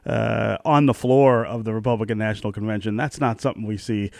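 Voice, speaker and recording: A man hosting a radio interview in an informal, lightly teasing and halting way. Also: fairly low pitch, fairly even intonation, vocal fry, darkish tone, dry recording, studio quality. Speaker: radio host. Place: radio studio.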